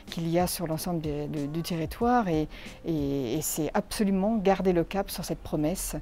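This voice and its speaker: A woman with a mellow, slightly low voice answers interview questions in an explanatory way. She feels for her words as she speaks. Some background noise sits behind her. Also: normal speed. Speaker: tourist office representative.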